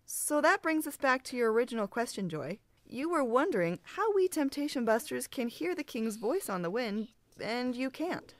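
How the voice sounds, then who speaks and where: Actress doing a patient lady mentor, fairly high voice, gentle teachery delivery, kids audio drama. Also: big pitch swings, moderate pace, few pauses, dry recording. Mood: explaining. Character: kindly, patient woman mentor giving injections. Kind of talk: children's audio drama acting.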